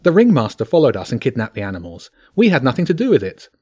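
Anxious schoolgirl for a storybook, played by a man: raised pitch, earnest, pleading. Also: expressive, fairly quick, a little mellow, no room sound, studio quality. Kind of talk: audiobook character voice.

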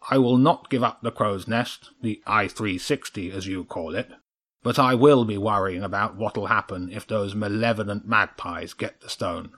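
A man's voice: fairly low pitch, moderate pace, fairly bright tone. A man voicing a stern, cold chairman crow in a children's audiobook, curt and authoritative. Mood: curt, dismissive.

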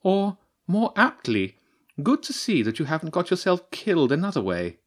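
A man's voice: a little high, wildly swooping pitch, normal speed, voice pushed up. A man voicing an impatient, sardonic female badger scientist in a storybook reading, dry and mocking. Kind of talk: audiobook character voice.

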